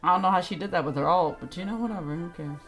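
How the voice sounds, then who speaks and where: A woman's voice: on the low side, very fast, creaky. A woman game streamer giving casual, irreverent, self-mocking live commentary with some swearing. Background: faint game music.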